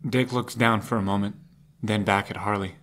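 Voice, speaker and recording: A man's voice: lowish voice, fairly even intonation, moderate pace, a bit bright. A man reading scripted narration as the narrator of an audio drama.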